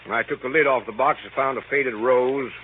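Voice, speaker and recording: An actor playing a long-suffering, exasperated middle-aged gentleman with a dry, weary delivery in a 1930s radio comedy. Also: medium pitch, steady tone, a little fast, close to an everyday voice. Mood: weary, wry. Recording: tinny, noisy 1930s radio broadcast.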